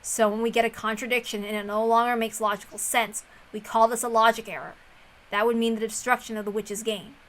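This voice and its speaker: A woman doing an expressive amateur read-aloud of a visual novel in a casual, homemade way. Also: a little high, a little fast.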